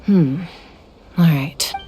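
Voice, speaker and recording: An actress playing a composed young woman investigator in a video game, calm and analytical. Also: low voice, very slow. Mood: attentive. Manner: calm, reasoning. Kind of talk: video game character acting. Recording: faint ambient noise behind.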